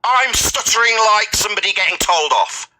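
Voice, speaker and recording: A man with a high, piercingly forceful voice lays into an opponent in a heated argument. His pitch swings animatedly as he scolds and repeats himself, domineering throughout like a host running a debate. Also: lively intonation, brisk, a little hiss or hum, rough recording. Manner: scolding, domineering, repetitive berating.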